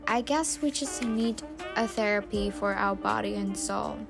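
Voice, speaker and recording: Slow, soft-spoken young woman narrating. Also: mid-range voice, creaky. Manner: soft, slow and unhurried, non-native phrasing. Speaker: vlogger. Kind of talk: reflective voice-over narration. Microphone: studio voice-over mic. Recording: music bed underneath.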